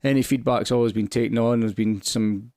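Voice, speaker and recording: A British man hosting a podcast talks quickly, with a low, gravelly voice. He often stops and restarts his sentences. The sound is close and dry. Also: fairly even intonation, darkish tone, no room sound. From United Kingdom. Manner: fast, friendly, halting with restarts. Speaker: host. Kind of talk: casual podcast interview.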